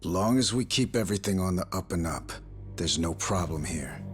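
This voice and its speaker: Wary, low-voiced gangster. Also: male voice, lively intonation, moderate pace. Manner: blunt, wary. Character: suspicious, hard-nosed criminal gang guide. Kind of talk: English dub video game voice acting. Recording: loud music behind the voice.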